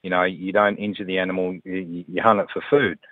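A man from New Zealand calling a radio show by phone, telling a story in a halting, solemn, insistent way. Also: low-pitched, no room sound. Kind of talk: casual anecdote telling. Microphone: telephone. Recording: tinny phone line.

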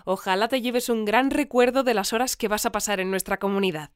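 A woman playing a composed, formal newsreader, delivering a scripted community bulletin deadpan in a fiction podcast. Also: medium pitch, a little fast, smooth, no echo, studio quality, close to an everyday voice. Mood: composed, courteous. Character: composed female newsreader of an eerie research community.

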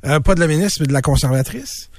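A man's voice: on the high side, quick pace, dry recording. A man with a Québécois accent co-hosting a radio talk show, wry and skeptical. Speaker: radio co-host.

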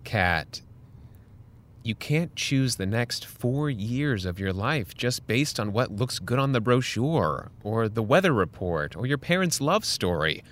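A man playing a patient but firm high school counselor, measured and earnest, in a fiction podcast. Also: sing-song, fairly bright tone. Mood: firm, concerned. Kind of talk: scripted audio drama dialogue.

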